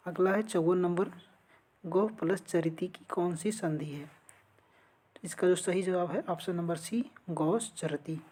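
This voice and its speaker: Woman, slightly low pitch, exam tutor, instructional, question-and-answer lesson delivery. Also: slow, mellow, breathy edge. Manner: instructional, poses questions then answers them. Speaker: exam-prep teacher. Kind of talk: lesson going through multiple-choice quiz questions.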